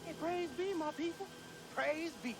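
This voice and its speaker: An actor playing a rambling, giddy preacher in a video game, with a halting, grandiose manner. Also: male voice, very high voice, music in the background. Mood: exalted pleading. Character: rambling, giddy male preacher pestering passers-by with salvation. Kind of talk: theatrical video game acting.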